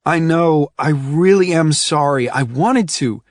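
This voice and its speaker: A woman voicing a guilty young superhero man who apologizes softly and earnestly. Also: low voice, measured pace, dry recording, studio quality. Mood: apologetic.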